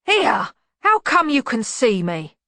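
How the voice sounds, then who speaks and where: Loud, whining, frightened monster. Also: female voice, sits high, big pitch swings, slow, dry recording, pitched above the performer's own voice, full character voice. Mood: indignant whining. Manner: pleading, whining, moaning. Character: whining, put-upon bogeyman monster, easily frightened.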